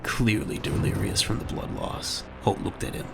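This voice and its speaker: A man's voice: on the low side, fairly even intonation, moderate pace, vocal fry. A man narrating a scripted audio drama in a dramatic storytelling style. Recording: music bed under the voice.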